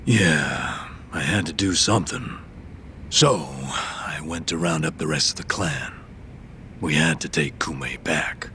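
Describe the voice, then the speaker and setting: An actor playing a hot-tempered, foul-mouthed yakuza gangster in a video game, gruff, sneering and belligerent. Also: male voice, rumbling low, slow, pushed and bright, a little hiss or hum, exaggerated voice. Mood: grudging, cagey. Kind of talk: English video game dub acting.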